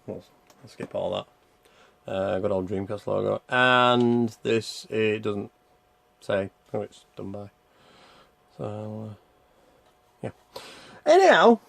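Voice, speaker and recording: A man reviews a video game while playing it, in a casual and rambling style. His voice is gravelly with a lot of fry, and his pitch moves around in a lively way. He thinks aloud as he goes. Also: dry recording. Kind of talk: casual gameplay commentary.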